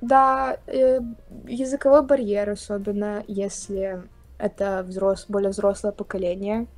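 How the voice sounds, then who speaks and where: A young woman from Russia chatting casually on a video call, talking with lively hand gestures. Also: medium pitch, a little slow, soft background music. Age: young adult. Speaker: guest. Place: indoor room, plain wall.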